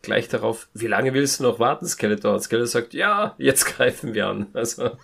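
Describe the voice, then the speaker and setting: A man's voice: mid-range voice, animated, a little fast, creaky, a bit bright, slightly rough. A man in a casual, humorous review chat, thinking aloud hesitantly as a podcast panelist.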